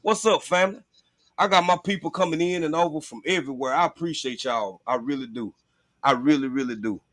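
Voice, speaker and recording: A man's voice: on the high side, brisk, fairly bright tone, dry recording, studio quality. A man chatting casually on a livestream in a blunt, profane, informal way. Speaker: livestream host.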